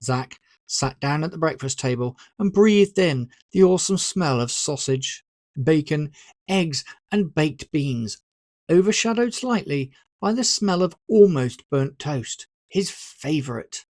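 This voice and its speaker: An author narrating a story in an expressive, deliberate, scripted audiobook style. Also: mid-range voice, no echo, clean studio sound.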